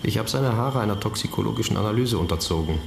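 An actor playing a dry, factual forensic examiner, explaining clinically in a radio crime drama. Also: male voice, lowish voice, expressive, fast talker, vocal fry, echoey room, loud music behind the voice.

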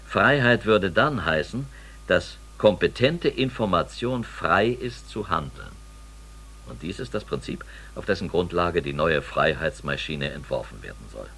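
Ein Sprecher gibt in einem Hörspiel den sachlichen, bedächtigen Erzähler mit dokumentarischem, ernstem Ton. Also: male voice, low-pitched, animated, a bit bright, a little hiss or hum, heavily acted. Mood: sober, measured. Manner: sober, deliberate, factual. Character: male documentary narrator, sober, measured and grave. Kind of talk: scripted radio drama narration.

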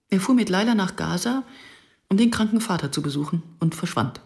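A woman's voice: fairly low pitch, vocal fry, darkish tone. An actress playing a passionate, argumentative woman who tells her own story in a German radio drama, sardonic and insistent.

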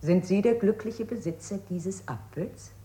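A woman's voice: medium pitch, measured pace, warm tone, close to an everyday voice. An actress playing a formally polite, persistent woman questioner in a radio play, insistently repeating herself. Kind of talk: avant-garde radio play acting.

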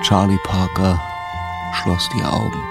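Radio play casting: literary narrator, grave, deep gravelly voice, unhurried, over music. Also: male voice, little pitch movement. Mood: grave, tender. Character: grave male storyteller of a jazz legend. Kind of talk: slow scripted literary narration in a radio drama. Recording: music behind the voice.

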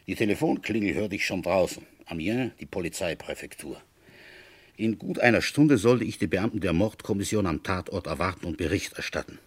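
Husky, deep-voiced police commissioner. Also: male voice, brisk. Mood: wry, weary musing. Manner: insistent in questioning, musing when narrating. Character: feverish, suspicious male village police commissioner in France. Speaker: detective and first-person narrator. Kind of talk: radio play dialogue and confiding narration.

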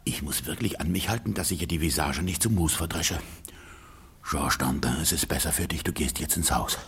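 A man's voice: extremely low pitch, brisk, creaky, fairly bright tone, a little hiss or hum, full character voice. A man in a radio play acting a jealous, bitter rich peasant husband, grumbling and exclaiming. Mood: indignant.

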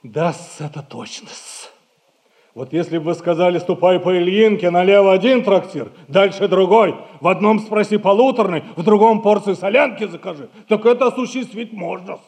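An actor playing a sly, obsequious merchant's clerk in a Russian stage comedy, servile yet drily impudent. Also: male voice, high voice, moderate pace, darkish tone. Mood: drily cheeky. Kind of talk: 1960s Russian stage comedy acting for audio.

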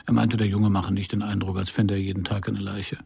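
A man's voice: fairly low pitch, level tone, a little fast, creaky, a little mellow, breathy edge, full character voice. An actor playing a middle-aged private detective in a noir radio play, narrating his case in a dry, laconic, sardonic way. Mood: wry, reflective. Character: hard-boiled male private detective narrating his case, wry and probing.

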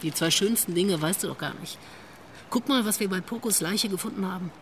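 A woman's voice: medium pitch, a little fast, background noise, natural voice. A woman acting as a worldly female investigator in a radio drama. She speaks in a wry, knowing storyteller's manner, naturally paced, over faint background ambience. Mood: teasing, confiding.

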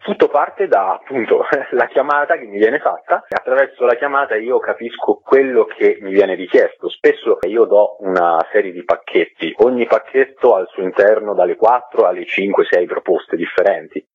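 A man's voice: fairly low pitch, moderate pace, few pauses, slightly rough, no echo. A man giving conversational, explanatory interview answers as a guest actor, repeating words for emphasis, over a telephone line. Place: phone call into a radio programme. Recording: tinny, narrow-band telephone line.

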